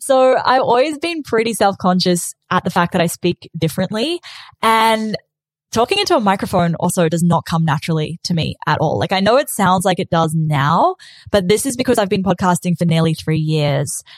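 A woman's voice: on the low side, expressive, moderate pace, no echo. A young woman with a New Zealand accent hosting a casual solo podcast in an upbeat, chatty, self-assured way. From South Africa, raised in New Zealand. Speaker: podcast host. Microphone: studio podcast microphone.

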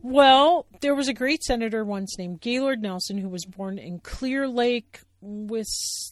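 A woman voicing a fretful, earnest young female bear in a storybook play, with a high and excitable voice. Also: a little slow, no room sound, close to an everyday voice. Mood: lecturing.

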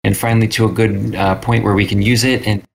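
A man's voice: lowish voice, little pitch movement, fairly quick, creaky. A man talking casually and anecdotally as a guest on an interview show.

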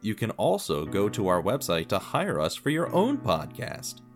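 Male podcast drama narrator, fairly deep, expressive scripted read over faint guitar music. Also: moderate pace.

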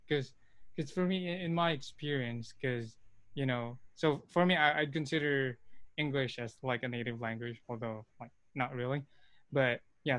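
A man chatting casually and asking questions, relaxed, with frequent fillers. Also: mid-range voice, no echo. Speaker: conversation partner asking questions. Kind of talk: casual conversation.

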